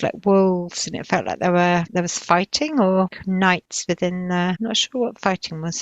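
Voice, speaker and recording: A woman's voice: fairly low pitch, creaky, no room sound, dull audio. A woman narrating spontaneously in a guided trance session, hesitant and drifting as she searches for words. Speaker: client recounting past-life visions in a guided session.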